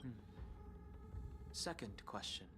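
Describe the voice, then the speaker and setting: A man voicing a serious, guarded young space traveller in a video game, speaking in a measured, formal, skeptical way. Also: music in the background, natural voice. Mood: wary. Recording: noticeable background ambience noise.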